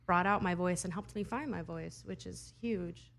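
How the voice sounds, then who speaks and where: A woman's voice: lowish voice, moderate pace, vocal fry, fairly bright tone. A young woman from Idaho, a singer-songwriter answering interview questions in an earnest, thoughtful way with laughs between answers.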